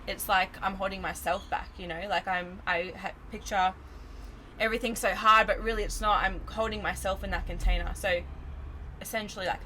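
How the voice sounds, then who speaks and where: A young woman with a bright, crisp tone explains her ideas in a relaxed, chatty way. She laughs easily and leans on "like" and "you know". Her voice sits mid-range, with faint noise behind it. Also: medium pitch, brisk. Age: 20s. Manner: laid-back, giggly, explains with lots of "like" and "you know". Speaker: numerology guest. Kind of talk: casual explanatory chat.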